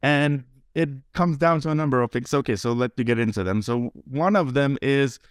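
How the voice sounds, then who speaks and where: Man, smooth and explanatory. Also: mid-range voice, moderate pace, no echo, clean studio sound. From Lithuania. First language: Lithuanian. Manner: earnest, explanatory, dryly humorous. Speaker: guest, translator and philosopher. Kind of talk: casual podcast conversation.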